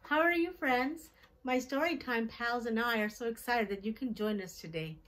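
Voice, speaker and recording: A middle-aged woman hosting a children's storytime, reading a picture book aloud in simple, deliberate phrases and putting questions to her young listeners. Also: a little high, a bit bright. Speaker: children's storytime host and reader. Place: small decorated indoor room.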